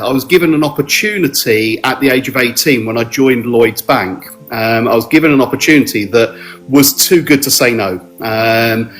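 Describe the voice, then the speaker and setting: A middle-aged man from South London with a South London accent, talking modestly in an interview and pausing often with ums and restarts. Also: mid-range voice. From South London, England. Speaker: mortgage adviser guest. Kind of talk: relaxed podcast interview.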